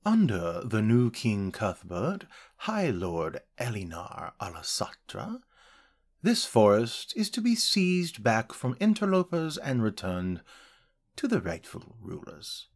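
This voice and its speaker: Male actor as High Elf royal soldier, low-pitched, deliberate pace, pompous, sneering, formal, fantasy roleplay. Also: huge pitch swings, exaggerated voice. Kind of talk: fantasy roleplay audio drama.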